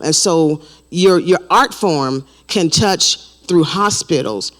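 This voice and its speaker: A man, a panelist, giving a steady, informative answer. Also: fairly high pitch. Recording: long hall reverb.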